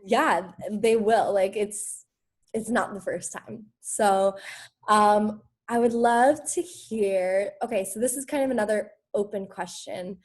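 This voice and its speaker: Woman coach hosting a group video call, enthusiastic and effusive, mid-pitched. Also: slow, darkish tone.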